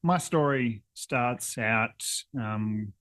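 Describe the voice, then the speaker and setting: A man's voice: medium pitch, extremely slow, a bit bright, dry recording, studio quality. A young man from northern New South Wales, talking casually in an interview with many hesitations and restarts. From northern New South Wales, Australia. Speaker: environment council coordinator.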